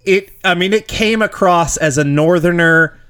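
A man co-hosting a casual movie podcast, animated and laughing often, his voice jumping up when he gets worked up. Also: high-pitched, normal speed, smooth. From Georgia, US. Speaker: podcast co-host. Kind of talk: casual movie review chat.

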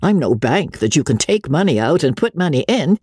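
A man's voice: on the high side, very animated, brisk, darkish tone, husky, no room sound, studio quality. A man reading a novel aloud voices a stout, world-hardened middle-aged woman who speaks in a brusque, matter-of-fact way.

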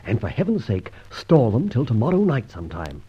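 Smooth-talking gentleman crook, very raspy voice, swoops around a lot, muffled old radio play. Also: mid-range voice, wildly swooping pitch, brisk, exaggerated voice. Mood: breezy, commanding. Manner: breezy, witty, upper-class turns of phrase. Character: suave gentleman adventurer thief, cool, jaunty and commanding. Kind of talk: old radio drama acting.

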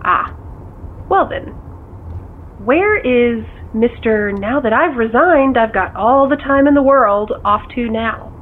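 A skeptical, teasing friend on a dull phone line with slight vehicle hum. She speaks slowly in a fairly high, very expressive voice, wry and full of questions, in an audio drama style. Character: skeptical, teasing close female confidante. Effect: phone call filter. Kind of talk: naturalistic audio drama acting.

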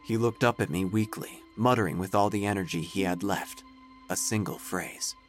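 An actor playing a wry, nosy small-town narrator, delivering formal, theatrical, deadpan comic audio drama narration over music. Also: male voice, low-pitched, normal speed, natural voice. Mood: wry, solemn.

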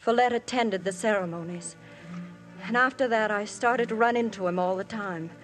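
An actress playing a young married woman who recounts her story to the police, earnest and quietly desperate, in 1950s radio drama style. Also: mid-range voice, normal speed, faint music behind, lightly acted. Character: married woman, young adult, anxious and confessing. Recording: old radio broadcast, faint hiss.

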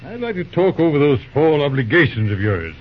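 An actor playing a shrewd, concerned older uncle, hemming and deliberate, in an old-time radio drama. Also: male voice, fairly high pitch, very animated, a little hiss or hum. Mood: probing, serious. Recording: muffled old radio recording.

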